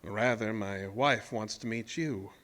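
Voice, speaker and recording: An actor playing a nervous, sinister husband hiding a monstrous wife in a horror audio drama, coaxing and menacing. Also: male voice, on the low side, a little slow, fairly bright tone.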